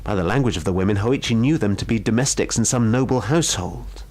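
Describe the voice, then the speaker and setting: A man reading a ghost story aloud in a measured, suspenseful storytelling style. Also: lowish voice, darkish tone. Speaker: radio show storyteller.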